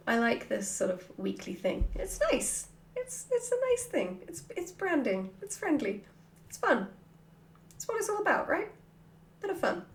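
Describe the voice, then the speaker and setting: A woman vlogger answering questions off the cuff in an animated, informal, jokey way. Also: fairly high pitch. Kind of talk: casual chatty Q&A, off the cuff. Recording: roomy, echoey sound.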